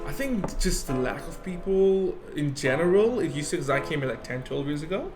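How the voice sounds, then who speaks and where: A man from Bangladesh interviewing a guest casually, often saying "yeah" and pausing on "um". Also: fairly high pitch, lively intonation. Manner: frequent "yeah" backchannels, hesitant with fillers. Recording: faint music behind.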